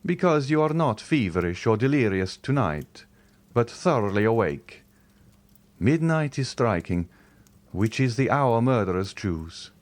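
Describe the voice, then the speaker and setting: A man's voice: medium pitch, big pitch swings. A man playing a solemn, protective count. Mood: urgent. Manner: solemn, measured warnings. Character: grave, protective middle-aged nobleman.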